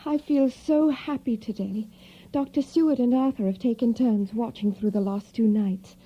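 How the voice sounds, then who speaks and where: An actress playing a sweet, trusting young gentlewoman in an audio drama, gentle, breathy and wistful. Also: fairly high pitch, normal speed. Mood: dreamy, wistful. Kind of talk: audio drama acting, diary narration. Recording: dull, muffled audio drama recording.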